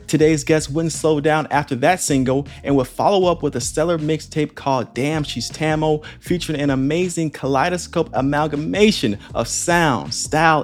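A man's voice: fairly high pitch, darkish tone. A man hosting a podcast, reading an enthusiastic scripted intro and then chatting eagerly with his guest. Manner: enthusiastic, hyped, effusive. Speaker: podcast host.

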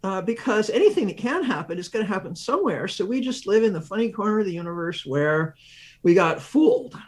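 A man with a fairly high voice gives a science explanation as an interview guest. He thinks aloud, setting up questions and then working through them with examples. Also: very animated, fairly quick. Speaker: research professor, interview guest.

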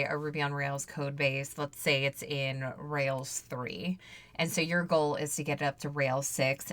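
Low-voiced woman hosting a podcast. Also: a bit bright. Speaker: podcast host. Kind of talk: conversational interview with sponsor read.

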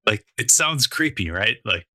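Man from Minnesota, panel guest, low bright voice, reflective. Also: very animated, normal speed, no echo, clean studio sound. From Minnesota, USA. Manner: reflective, thoughtful, some self-restarts. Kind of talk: conversational panel talk.

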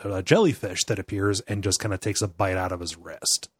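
Lower-voiced man, chatty podcast delivery. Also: fairly even intonation, fairly quick, slightly rough, no room sound, soft background music. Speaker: podcast co-host. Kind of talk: casual film discussion with retelling.

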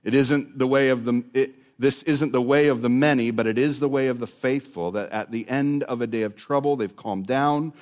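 A male pastor preaching a sermon, dropping to a near whisper and then rising again, stretching out key words. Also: mid-range voice. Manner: dramatic swings from loud to hushed, drawn-out words.

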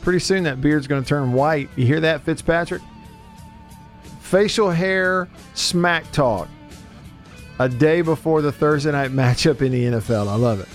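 A man's voice: on the high side, unhurried, soft background music. A man hosting casual sports talk radio, chatty and joking as he reads out listener texts. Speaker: radio show host. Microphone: close studio radio microphone.

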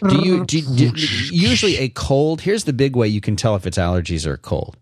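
The straight man on a two-guy podcast, deadpan and matter-of-fact on a close, dry studio mic. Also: mid-range voice, brisk, no room sound. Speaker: podcast co-host. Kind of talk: casual podcast chat.

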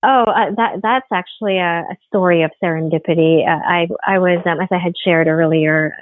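A middle-aged woman from the Philippines, a company head, talking haltingly in a podcast interview with many fillers and restarts. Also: fairly low pitch, steady tone, a little hiss or hum. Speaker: guest, firm CEO. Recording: tinny phone-like line.

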